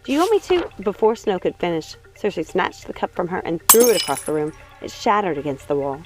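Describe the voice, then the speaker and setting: A woman's voice: fairly low pitch, animated, brisk, music in the background. A woman reading a fantasy novel aloud as an audiobook narrator. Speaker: audiobook reader. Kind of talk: scripted fiction narration.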